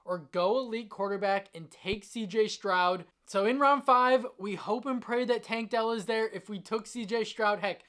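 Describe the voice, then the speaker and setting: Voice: mid-range voice, a bit bright. A sports content host speaks straight to the audience in an emphatic, enthusiastic way. The voice is slightly strained from a long stretch of talking. The delivery is that of someone laying out fantasy sports advice with conviction. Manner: emphatic, enthusiastic about the picks. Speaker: fantasy football channel host.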